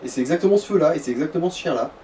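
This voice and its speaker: A man's voice: on the high side, animated, racing, dark tone. A man casually guiding a friend through a video game, explaining hesitantly with repeated words and crude slang. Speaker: co-commentator guiding the player. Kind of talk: casual gameplay chat, giving directions. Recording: distant mic with background noise.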